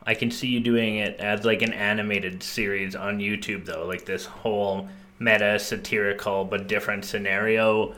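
A man's voice: on the low side, flat delivery, normal speed, bright, forceful tone. A young man co-hosting a casual podcast, talking in an upbeat, joking way. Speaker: podcast co-host.

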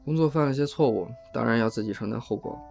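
Male actor as polite mild young man, audio drama, soft-spoken, dark mellow tone, gravelly edge. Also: mid-range voice, quick pace, soft background music, natural voice. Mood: weary, reserved. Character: polite, mild, self-effacing young man down on his luck. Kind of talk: Chinese audio drama acting.

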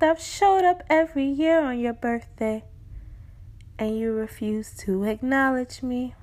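A woman voicing her own wounded reflection, a young woman who speaks urgently and emphatically to her, slowly pleading with her to heal. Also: high voice, pitched above the performer's own voice.

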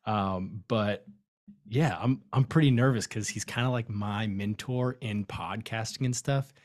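Male podcast host, lowish voice; friendly, offhand delivery, studio setting. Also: unhurried, a little mellow, no room sound. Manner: friendly, loose, many fillers. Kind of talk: casual interview chat.

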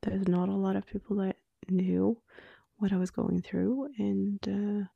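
A woman in her late twenties from Norway, speaking English with a Norwegian accent tinged with Australian, co-hosting a candid podcast and often dropping to a hushed near-whisper. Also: medium pitch, fairly even intonation, unhurried, gravelly, husky, no room sound, highs cut off. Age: 20s. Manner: soft-spoken, drifting into hushed near-whispers. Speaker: podcast co-host. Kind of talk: candid conversational podcast chat.

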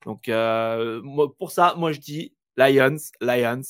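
A man co-hosting a casual sports podcast, animated and teasing, firing off quick questions. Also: mid-range voice, smooth, no echo, clean studio sound. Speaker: podcast co-host.

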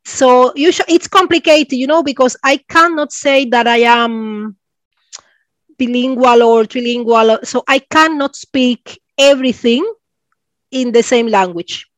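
A woman in her forties from Cuba, speaking English with a Cuban Spanish accent as an interview guest, often pausing for words and laughing. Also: high-pitched, no echo. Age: 40s. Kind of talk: interview conversation.

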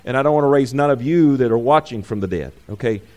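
A male preacher speaking off the cuff to his congregation, in a voice of ordinary middle pitch. He rambles and corrects himself mid-phrase, then rises loud and high when he drives a point home. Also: quick pace. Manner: rambling, self-correcting, calls for amens. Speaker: pastor.

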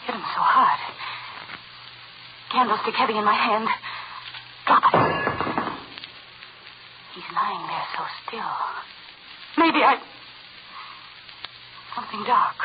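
High-pitched, melodramatic trapped young heiress. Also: female voice, takes it slowly, pauses often. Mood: horrified, panicking. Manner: melodramatic, breathless clipped fragments in interior monologue. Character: spoiled, defiant young heiress, trapped and panicking. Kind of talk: 1940s radio suspense acting. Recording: muffled, crackling old-time radio recording. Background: loud crackle and hiss.

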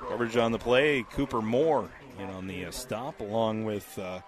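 A man with a scratchy voice calling a high school football game play by play, steady and measured, with casual fillers. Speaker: play-by-play announcer.

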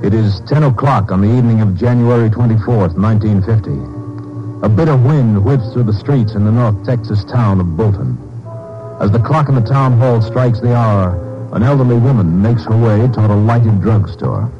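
An American man giving grave, measured narration for a 1950s radio drama. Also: on the low side. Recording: dull, lo-fi old-time radio recording. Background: music.

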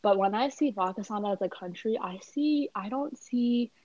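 A woman's voice: mid-range voice, moderate pace, a little mellow, no room sound, dull audio. A young woman, a student, in a casual conversation, talking in a chatty way full of "like" and "you know.". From Canada.

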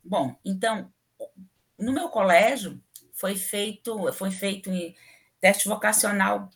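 Woman, middle-aged, southern Brazilian accent, podcast guest, chatty, opinionated, mid pitch. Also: slow, gravelly, a bit bright. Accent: southern Brazilian Portuguese. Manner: chatty, opinionated, many fillers. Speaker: civil engineering professor, guest. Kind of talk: casual interview talk.

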